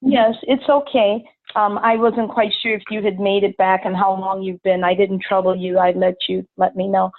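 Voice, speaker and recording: A woman's voice: medium pitch, flat delivery, moderate pace, no echo. A woman chats in a friendly, teasing way with the class speaker. Her voice comes through dry and thin, like a phone call. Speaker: class participant.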